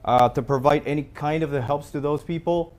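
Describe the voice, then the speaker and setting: A man's voice: on the high side, a little fast. Hesitant male news discussion host. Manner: hesitant, repeats words and fillers.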